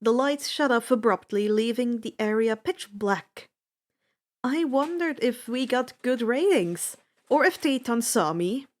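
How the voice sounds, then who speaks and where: A woman reading fan fiction aloud as an expressive first-person narrator, with a deliberate, dramatic delivery. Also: a little high.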